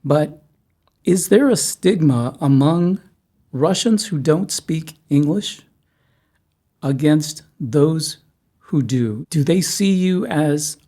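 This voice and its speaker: Husky man, middle-aged, from south texas, casual host, halting and jokey. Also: on the high side, lively intonation, mellow. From South Texas, USA. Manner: halting, repeats words, jokey. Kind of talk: casual conversation.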